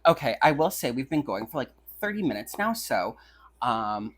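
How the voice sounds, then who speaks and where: Husky, animated woman chatting on a podcast. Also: rumbling low, normal speed. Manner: stammers and restarts words. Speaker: podcast host. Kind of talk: casual chat.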